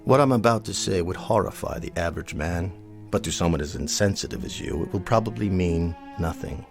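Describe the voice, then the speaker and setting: An actor playing a haughty, contemptuous old colonel in a radio drama, speaking in a formal, precise and disdainful way. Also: male voice, deep voice, expressive, brisk, gravelly, music in the background. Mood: cold contempt.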